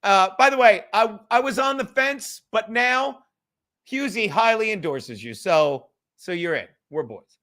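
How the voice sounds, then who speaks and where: A man's voice: high-pitched, lively intonation, unhurried, sharp tone. A man hosting a casual, profane livestream chat, rambling in a self-deprecating way and swearing freely. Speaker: livestream host.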